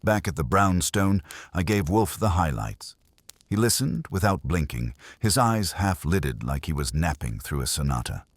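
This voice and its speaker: A man's voice: low-pitched, expressive, moderate pace, slightly rough. A man narrating a first-person detective audiobook in a wry, hardboiled manner.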